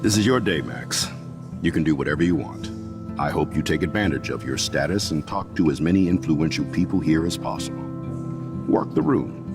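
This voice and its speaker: Casting: jovial middle-aged school principal for a narrative game. Bass register, gravel, lively intonation, mildly self-important. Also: male voice, a little fast, over music. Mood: jovial, encouraging. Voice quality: very deep, gravelly. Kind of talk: video game dialogue.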